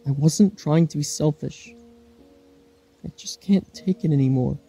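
A man's voice: fairly high pitch, a little slow, vocal fry, mellow, breathy edge, faint music behind, heavily acted. A man playing an anxious, searching traveller who talks urgently to himself in a fan audio drama. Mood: anguished.

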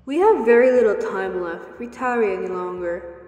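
In a strongly echoing hall, a woman plays an authoritative judge in a student radio drama. She has a medium-pitched voice and a formal, composed, measured delivery. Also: lively intonation, darkish tone, dull audio, lightly acted. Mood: stern, composed. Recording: echoey, reverberant hall sound.

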